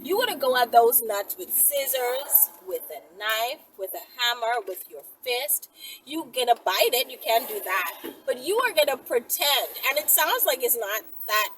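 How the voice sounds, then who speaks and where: A woman telling stories to her viewers in a casual, playful, slangy and animated way while riding in a vehicle. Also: on the high side. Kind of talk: casual chatty storytelling. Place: moving vehicle while driving. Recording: loud vehicle noise, distant mic. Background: loud road and vehicle noise.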